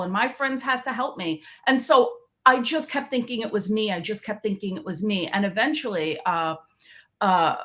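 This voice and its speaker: A woman's voice: mid-range voice, fairly bright tone. A woman from Queens, a math education researcher who is a guest on a podcast and tells her story in a reflective way, often restarting her sentences. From Queens, New York. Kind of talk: interview conversation.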